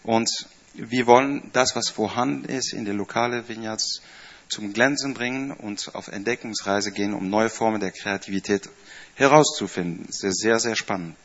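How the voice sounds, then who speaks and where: A man's voice: on the low side, normal speed, a bit bright, dull audio. A man of fifty from the Netherlands, raised in France, with a Dutch and French accent in German, greeting a church congregation in a chatty, hesitant way with many fillers. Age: 50s. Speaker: church staff member giving a greeting. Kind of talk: informal speech to a congregation.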